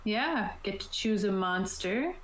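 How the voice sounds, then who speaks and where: A woman's voice: medium pitch, animated, slow pace, fairly bright tone. A woman talking casually as a game master, explaining rules and options to players. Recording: roomy echo, light background noise.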